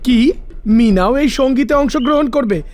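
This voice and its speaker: Man voicing a sneering, very high-pitched bully. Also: normal speed, darkish tone. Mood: scornful. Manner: sneering, taunting. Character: mocking, scornful young music-class bully.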